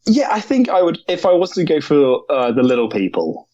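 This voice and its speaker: A man's voice: a little high, sing-song, a little fast, darkish tone, no echo, highs cut off. A man talking casually and chattily as a podcast co-host, sharing opinions. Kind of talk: casual chat.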